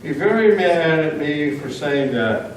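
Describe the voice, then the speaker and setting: A man reads theatrically on stage with a high, swooping voice. The sound is heavily echoed and picked up from a distance. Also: lively intonation, measured pace. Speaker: readers theater performer. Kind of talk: staged dramatic reading. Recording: very echoey hall, distant mic, faint noise.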